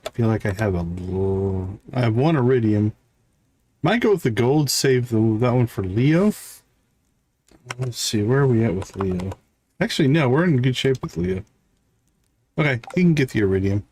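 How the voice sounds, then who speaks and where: A man's voice: mid-range voice, lively intonation, normal speed, pauses often, darkish tone, slight background noise. A man giving casual live gameplay commentary, thinking aloud and chatting with viewers as he plays. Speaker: live streamer playing a farming game.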